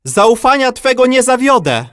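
A man's voice: very high voice, big pitch swings, normal speed, a bit bright, smooth, no room sound, studio quality, heavily acted. A man playing a loyal medieval vassal in an audio drama, speaking earnestly and reverently to his lord.

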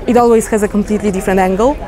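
A woman's voice: mid-range voice, fairly even intonation, very fast. A young woman who speaks English as a second language, giving thoughtful, slightly hesitant comments as an audience member in a festival bookshop tent. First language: not English. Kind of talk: casual vox pop comments. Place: indoor bookshop tent with people. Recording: crowd murmur behind.

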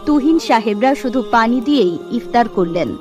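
High-voiced woman narrating a children's story. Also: lively intonation, fairly quick, darkish tone. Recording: dry voice over faint music.

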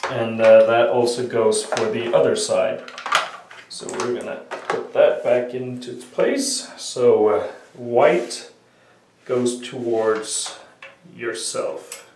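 A man's voice: mid-range voice, a little mellow. A man with a sniffly cold explains a repair step by step, with many "uh" fillers as he works with his hands at a workbench. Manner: unhurried, many "uh" fillers, talking while working with hands. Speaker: hobbyist repair tutorial maker. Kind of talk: casual step-by-step repair tutorial. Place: small room at a workbench, indoors. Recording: roomy, distant camera audio with faint noise.

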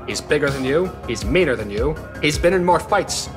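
Man playing a wisecracking, fatherly buddy, slightly high. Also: expressive, normal speed, lightly acted. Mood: concerned, wry. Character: wisecracking, fatherly male sidekick with old-fashioned slang. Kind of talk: comic audio drama acting. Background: music.

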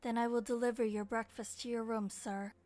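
A woman's voice: a little high, little pitch movement, a little fast, darkish tone, faint music behind, close to an everyday voice. A woman voicing a polite, composed young maid in a comic fan dub, formal and deferential.

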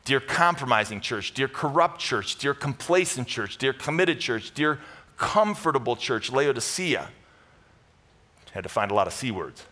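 A man's voice: medium pitch, very animated, moderate pace, bright, forceful tone. A middle-aged American man preaching to a congregation as pastor, teaching in punchy, conversational short phrases with repeated emphasis. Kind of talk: sermon to a live congregation with Bible teaching. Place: church stage, indoors.

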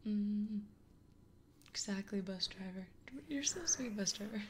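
A young woman streamer whispering softly and gently, chatting with viewers in an ASMR livestream. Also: mid-range voice, a little slow, slight background noise. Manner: soft whispering, gentle and reassuring.

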